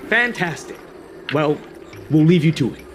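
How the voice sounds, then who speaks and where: A man's voice: fairly high pitch, animated, unhurried, over music. A man voicing a dry, weary guard who is fed up with his partner in a video game. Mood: relieved. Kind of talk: game voice acting. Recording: clear ambient noise behind the voice.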